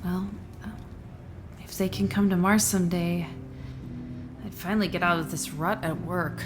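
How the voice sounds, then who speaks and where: A woman's voice: lowish voice, fairly even intonation, moderate pace, background noise, lightly acted. A woman playing a conflicted, tired neuroscientist mother in a naturalistic audio drama, speaking in a hesitant, conversational way. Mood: weary, uncertain. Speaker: voice actor in a musical audio drama.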